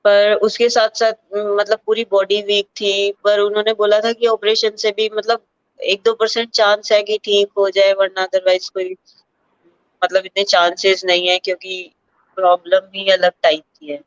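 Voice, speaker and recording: A young woman from Uttar Pradesh talks through her life story in a relaxed, searching way, pausing often to find words. Her voice is smooth and clear, recorded close and dry with a slightly dull, muffled sound. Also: mid-range voice, dry recording. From Uttar Pradesh, India. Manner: thoughtful, many fillers, mixes English words into Hindi. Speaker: para-athlete sprinter, interview guest. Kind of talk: candid personal interview.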